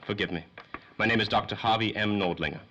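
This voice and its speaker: An old doctor in a radio serial, formal and secretive. His low voice moves quickly and politely, with faint noise in the recording. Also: sharp tone, dull audio. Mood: urgent, secretive. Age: older. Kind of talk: 1930s radio play acting.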